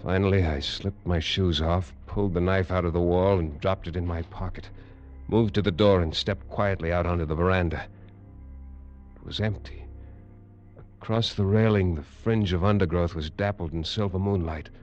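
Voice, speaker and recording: An actor playing a tough American detective in an old radio drama, narrating in clipped, hard-boiled lines. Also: male voice, deep voice, steady tone, normal speed, darkish tone, soft background music, close to an everyday voice. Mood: tense. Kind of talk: 1950s radio drama acting. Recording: dull old radio recording.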